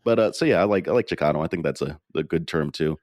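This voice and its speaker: A man with a very deep voice hosts a casual podcast discussion. He speaks conversationally, and the recording is dry with hardly any room sound. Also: quick pace, a little mellow, dry recording. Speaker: podcast host.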